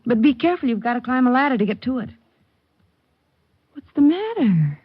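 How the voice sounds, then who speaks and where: A woman's voice: a little high, very animated, brisk, breathy edge, no room sound. An actress playing a private detective's teasing, playfully bossy girlfriend in a 1940s radio drama. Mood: coaxing. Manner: teasing, coy. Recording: muffled vintage radio, faint music.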